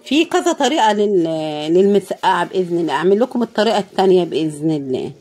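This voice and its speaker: Woman, Egyptian Arabic accent, hoarse, tired, casual cooking chatter, pious asides. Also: fairly low pitch, sing-song, fast, darkish tone. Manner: tired, pious, often praising God. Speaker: cooking vlogger.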